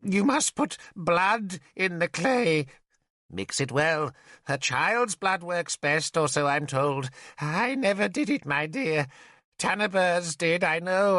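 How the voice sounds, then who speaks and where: A man's voice: on the high side, a bit bright, dry recording, studio quality, voice pushed up. A man reading an audiobook, voicing a broken, mad, blind old man who begs like a child and babbles on. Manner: whimpering, babbling, childlike begging. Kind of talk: audiobook character voice.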